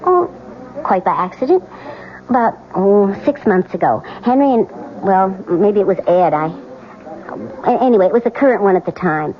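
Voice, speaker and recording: An actress playing a chatty, flighty, concerned friend with a gushing, hesitant manner in a radio drama. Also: female voice, mid-range voice, animated, moderate pace, close to an everyday voice. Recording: muffled old radio broadcast.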